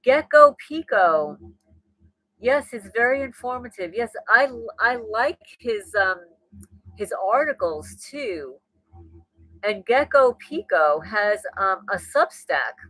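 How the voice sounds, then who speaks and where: A woman's voice: on the high side, very animated, slow pace, sharp tone, no room sound, poor audio. A woman with a New Jersey accent hosting a casual livestream, reading and answering viewer comments as she goes. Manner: chatty, thinks aloud, frequent restarts and laughs. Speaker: livestream host.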